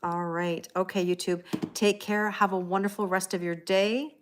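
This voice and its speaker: A woman's voice: on the low side, little pitch movement, normal speed, a little hiss or hum. A middle-aged woman hosting a tarot livestream, chatting casually and thanking viewers by name.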